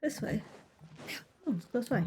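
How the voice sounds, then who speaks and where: A woman's voice: normal speed, background noise. A woman livestreaming a game, chatting and reacting casually.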